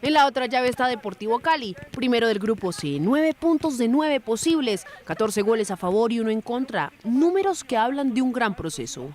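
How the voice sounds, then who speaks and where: A woman's voice: a little high, sing-song, normal speed, hardly any pauses, a little mellow. A woman reading a scripted sports news report.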